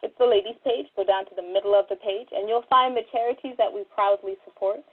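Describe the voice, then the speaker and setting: A woman's voice: mid-range voice, fairly even intonation, fast, slightly rough. A woman co-hosting a podcast in a casual, conversational style. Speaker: podcast co-host. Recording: tinny phone-like line.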